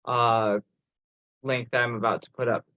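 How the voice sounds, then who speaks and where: A man's voice: mid-range voice, flat delivery, normal speed, no room sound. Guy chatting casually on a video call, dry muffled sound. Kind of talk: casual online meeting talk. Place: online conference call. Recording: muffled, band-limited conference call audio.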